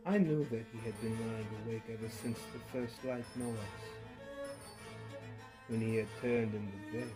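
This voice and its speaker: A man giving a theatrical horror reading as an unhinged male murderer, gloating and feverish, dropping to hushed murmurs and rising to loud outbursts with low chuckles. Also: steady tone, normal speed, over loud music. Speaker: narrator reading a horror tale. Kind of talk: dramatic storytelling reading.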